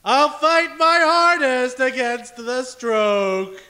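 Pompous high-voiced stage ham. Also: male voice, fairly even intonation, very slow, bright, forceful tone, full character voice. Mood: pompous, indignant. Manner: pompous, declamatory. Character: pompous, self-important, hammy amateur stage singer at audition. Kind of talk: theatrical comedy sketch acting.

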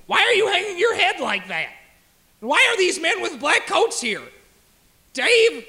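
A man's voice: very high-pitched, very animated, a little slow, bright, forceful tone, full character voice. A man playing an eager, clueless young amateur actor who rambles dimly in a comedy sketch. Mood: baffled. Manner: rambling, dim-witted, hesitant. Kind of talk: audio comedy sketch acting.

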